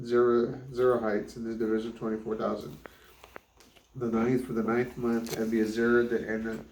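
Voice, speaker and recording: Flat-toned man reading scripture, roomy echo. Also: medium pitch. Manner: stumbles over names, retrying pronunciations. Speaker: father reading scripture aloud. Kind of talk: Bible reading aloud. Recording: roomy echo, faint background noise.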